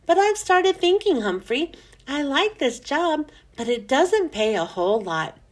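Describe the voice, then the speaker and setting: A woman's voice: high voice, big pitch swings, measured pace. A woman voicing a friendly young male janitor, casual and earnest, in a children's storybook reading. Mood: chummy, earnest. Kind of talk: children's storybook read-aloud.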